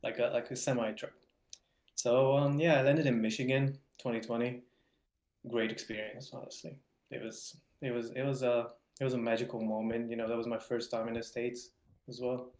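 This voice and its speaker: A man's voice: mid-range voice, level tone, normal speed, darkish tone. A young man from Hungary with a Hungarian accent, chatting casually as a podcast guest and often repeating words. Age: young adult. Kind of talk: casual interview chat. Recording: roomy, echoing voice.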